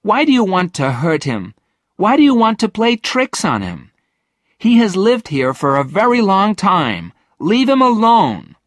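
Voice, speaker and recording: A man's voice: animated, unhurried, slightly rough, no echo, studio quality. A man voicing a kind but outspoken young girl in a storybook, earnest and emphatic. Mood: indignant. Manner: earnest, emphatic, raised pitch.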